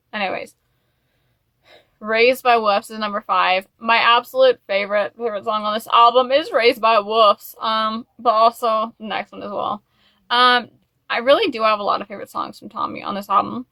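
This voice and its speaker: A woman talks casually to her viewers as the host of a video. Her voice is bright and forward, recorded dry with little room sound. She rambles in a gushing fan manner, peppering her talk with "like" and "you know.". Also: on the high side, fairly even intonation, moderate pace, vocal fry, dry recording. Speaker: YouTube host. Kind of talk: casual chat to camera, music album review.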